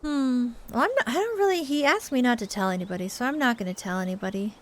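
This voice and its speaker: A woman's voice: fairly high pitch, lively intonation, fast talker. A woman commenting on a game playthrough in a playful, reactive, exclamatory way, with music behind her. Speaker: gaming streamer. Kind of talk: playthrough commentary with amateur character reading.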